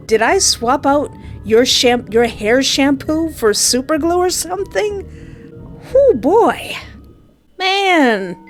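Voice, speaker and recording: A woman reading a visual novel aloud and breaking in with sarcastic jokes and squeals of mock panic. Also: sits high. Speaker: let's play gamer.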